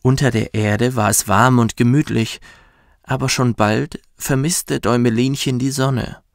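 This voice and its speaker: Male storyteller, soft, soothing, slow, slightly gravelly, dry close sound, children's fairy tale. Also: medium pitch, steady tone, dry recording. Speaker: professional bedtime story narrator. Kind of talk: gentle scripted storytelling for children.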